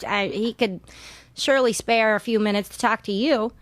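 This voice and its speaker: A woman's voice: fairly high pitch, normal speed. A middle-aged woman, guesting on a podcast and telling rambling stories with frequent laughs. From Southern California. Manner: warm, laughing often, rambling storytelling. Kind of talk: casual interview chat.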